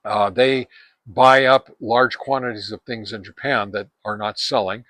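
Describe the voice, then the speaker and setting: A man's voice: lowish voice, fairly even intonation, unhurried, fairly bright tone. Methodical man reviewing gear. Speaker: ham radio product reviewer. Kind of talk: casual product review and test demonstration.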